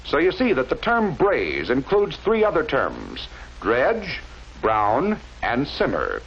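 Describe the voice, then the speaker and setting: A man narrating a scripted 1940s instructional film in a measured, didactic way with careful enunciation, on a vintage film soundtrack. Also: medium pitch, wildly swooping pitch, a bit bright, muffled recording, a little hiss or hum.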